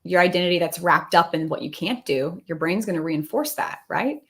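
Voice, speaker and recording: A woman's voice: lowish voice, lively intonation, normal speed. A woman around her thirties speaking conversationally as a couples coach on a live video call. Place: indoor room, video call.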